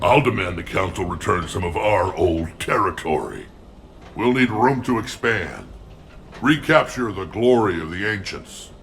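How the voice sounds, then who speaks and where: An actor playing a gruff, proud alien warrior leader in a video game, blunt and commanding. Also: male voice, low voice, lively intonation, measured pace, fairly bright tone, a little hiss or hum, exaggerated voice.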